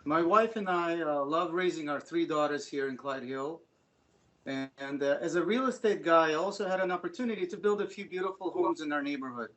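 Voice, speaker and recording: A middle-aged man gives an earnest, somewhat halting public comment at a council meeting. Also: a little high, fairly bright tone, rough recording. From Ukraine. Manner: earnest, a bit halting, with frequent "uh" fillers. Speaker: resident giving public comment.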